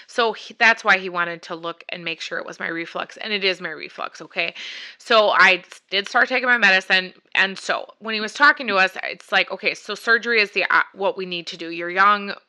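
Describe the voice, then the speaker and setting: A woman's voice: mid-range voice, fairly quick, pushed and bright, smooth. A woman vlogger telling a personal story in a casual, chatty, rambling way, voicing both sides of conversations as she goes. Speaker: vlogger sharing a personal health journey.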